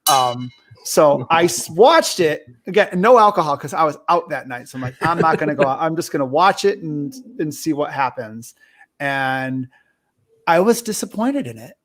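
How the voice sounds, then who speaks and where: A man, likely middle-aged, hosting a casual movie discussion, talking haltingly and often rephrasing himself. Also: fairly high pitch, animated, fairly bright tone. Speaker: host of a movie review show.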